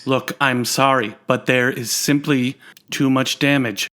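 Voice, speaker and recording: A man's voice: mid-range voice, steady tone, measured pace, fairly bright tone, close to an everyday voice. A man playing a weary, matter-of-fact surgeon in a radio play, speaking in a grave, clinical manner.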